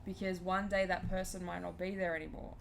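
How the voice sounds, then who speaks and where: A woman's voice: fairly low pitch, little pitch movement, fairly quick, creaky. Young woman podcast host, blunt casual talker, restarts a lot, faint hiss behind. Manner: frank, swears casually, trails off and restarts. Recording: faint background noise.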